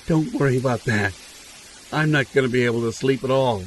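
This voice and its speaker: An actor playing a nervous, frightened camper in an audio drama, jittery and prone to stammering. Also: male voice, mid-range voice, brisk, slight background noise, close to an everyday voice. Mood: shaken. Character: nervous, frightened male camper, novice hunter's friend.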